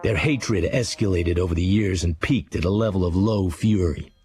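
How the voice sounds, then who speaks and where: An actor playing a hard-edged crime writer narrating his dark memoir in a sardonic, clipped manner for a radio drama. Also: deep voice, normal speed, faint music behind, lightly acted. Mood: sardonic, cool.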